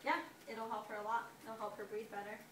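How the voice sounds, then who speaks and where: A young woman, a respiratory therapy student, role-playing a clinician who calmly explains each step to a patient. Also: on the high side, brisk. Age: young adult. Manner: calm, reassuring, explaining step by step. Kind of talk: role-play of a clinical procedure. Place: hospital training lab. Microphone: far camera. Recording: echoey room from far off, noisy.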